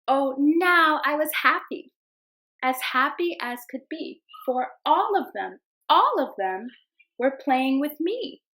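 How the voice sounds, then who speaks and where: Teacher reading a storybook aloud. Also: female voice, high voice, lively intonation, slow pace. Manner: gentle storytelling voice, bright sing-song when the child calls to the animals. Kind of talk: children's read-aloud.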